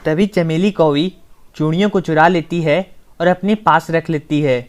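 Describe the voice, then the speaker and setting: A woman's voice: deep voice, moderate pace. A woman narrator reading a scripted children's story.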